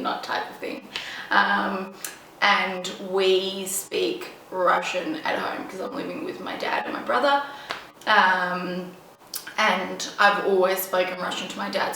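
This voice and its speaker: A woman's voice: mid-range voice, creaky, pushed and bright, a little hiss or hum. Chatty young woman in an echoey room. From Estonia. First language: Estonian. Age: 20s. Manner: chatty, rambling, lots of ums and likes. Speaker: vlogger. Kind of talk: casual Q&A to camera. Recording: echoey room, mic at a distance.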